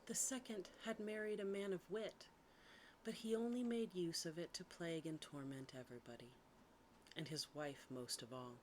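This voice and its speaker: Female ASMR storyteller: low register, quiet soft reading for sleep, slight background noise. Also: fairly even intonation, moderate pace, rough recording.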